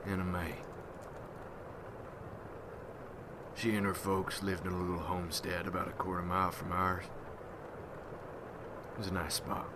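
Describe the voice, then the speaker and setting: Haunted rural war veteran turned monster hunter, played by a man: deep, even delivery, slow somber ASMR storytelling. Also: background noise, lightly acted. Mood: wistful. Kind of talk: ASMR roleplay monologue.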